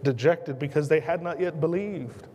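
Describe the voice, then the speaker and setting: American pastor preaching in a reverberant church. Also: male voice, darkish tone, husky, dull audio. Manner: earnest preaching, slows and drops low on key words, gestures a lot. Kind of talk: sermon. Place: church sanctuary at a pulpit.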